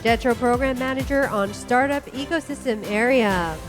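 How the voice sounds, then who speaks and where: Woman, high-pitched, formal stage announcer, clear music bed. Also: moderate pace. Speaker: event announcer.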